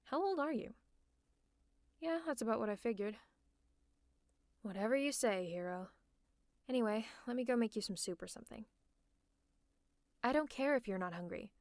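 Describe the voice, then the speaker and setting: A woman playing a sardonic female supervillain in an ASMR roleplay, teasing and scolding the listener in a gruffly caring way. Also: on the high side, lively intonation, normal speed, pauses often, no echo, clean studio sound. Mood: grudging care. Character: sardonic female supervillain, gruffly fussing over a sick hero. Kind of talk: ASMR roleplay, one-sided dialogue to the listener. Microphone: close mic, dry.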